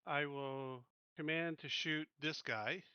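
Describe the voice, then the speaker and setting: A man's voice: a little high, steady tone, takes it slowly, sharp tone, clean tone, dry recording, dull audio. A man playing a tabletop game, chatting casually and decisively directing the other players on tactics.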